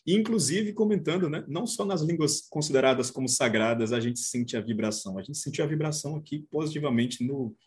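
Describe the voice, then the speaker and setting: Husky-voiced enthusiastic Brazilian man. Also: on the high side, expressive, fairly quick, few pauses, a little mellow. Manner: enthusiastic, frequently seeks agreement. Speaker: panelist on a live online discussion show. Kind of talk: casual chat, closing remarks.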